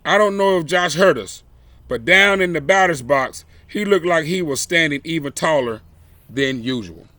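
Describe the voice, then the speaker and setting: A man reads a story to children in a bright, forceful voice that sits a little high. He takes his time with deliberate, dramatic phrasing. The sound is dry and close. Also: lively intonation, dry recording. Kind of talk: expressive read-aloud of a children's picture book.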